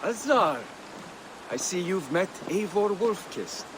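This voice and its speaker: A practical, welcoming advisor in a game cutscene. The voice is slightly high for a man and swings widely, instructive and assured, with clear rain and stream noise behind. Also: animated, slow. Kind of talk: dramatic video game acting.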